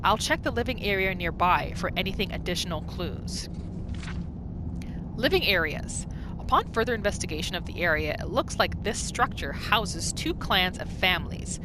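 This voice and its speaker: A woman's voice: lowish voice, moderate pace, noisy background. A woman hosting a gaming video with casual role-play commentary, talking to herself as she plays. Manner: excitable, exclaims often, talks to herself. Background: game music.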